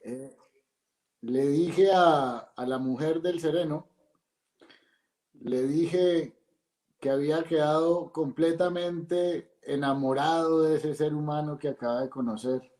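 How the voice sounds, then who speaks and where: A man's voice: fairly high pitch, steady tone, slow, dark tone, echoey room. A male host speaking effusively and admiringly to his guest, with hesitant restarts. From Italy. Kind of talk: casual interview.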